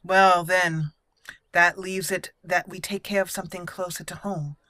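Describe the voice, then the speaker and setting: A woman hosting a role-play game playthrough, speaking deliberately and theatrically in character, with casual asides. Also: lowish voice, flat delivery, pushed and bright, dry recording. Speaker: gaming channel host.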